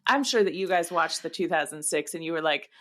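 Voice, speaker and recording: A woman's voice: low voice, expressive, a little fast, fairly bright tone. A woman co-hosting a podcast in casual comedic chat, joking in a playful, mock-serious way. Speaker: podcast co-host.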